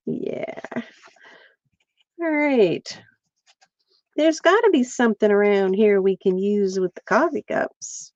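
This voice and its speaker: Slow-talking chatty woman crafting live. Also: medium pitch, expressive, a little mellow, no room sound, highs cut off. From central Pennsylvania. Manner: chatty, talks to viewers while crafting, laughs often. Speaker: crafting livestream host. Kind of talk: casual craft livestream chat.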